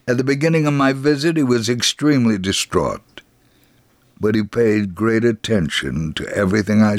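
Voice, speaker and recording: A man reading a scripted first-person testimony as an elderly, gentle, devout Holocaust survivor, in a measured, earnest and deliberate manner. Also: fairly low pitch, no echo.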